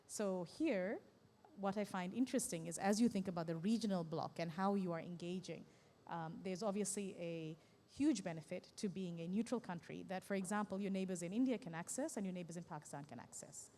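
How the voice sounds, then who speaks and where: A woman from Southern Africa speaking as a conference panelist, explaining ideas in a measured, thinking-aloud way. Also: fairly low pitch, dark tone, in a large hall, slight background noise. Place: conference stage.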